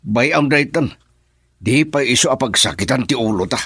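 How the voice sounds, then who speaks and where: A man's voice: mid-range voice, animated, normal speed, lightly acted. A man playing a dismissive husband who brushes off his wife's worries in a radio drama.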